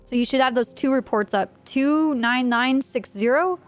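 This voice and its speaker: A woman's voice: high voice, moderate pace. A woman leading an improvised roleplay briefing in an online game, talking casually as she explains things to a group. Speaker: streamer playing a police trooper in online roleplay, leading a meeting.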